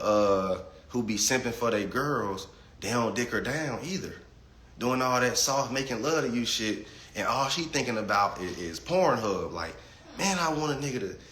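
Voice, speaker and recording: A man in his late twenties holds forth on a casual livestream in a blunt, profane way, stumbling over repeated words as he starts each point. His voice sits in a middle pitch and carries some room echo. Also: bright, forceful tone, slight background noise. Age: 20s. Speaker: livestream host reading chat.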